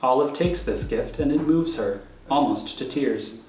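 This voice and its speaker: A man's voice: medium pitch, unhurried, darkish tone. A man reading screenplay stage directions as the narrator of an audio thriller. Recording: roomy echo with music underneath.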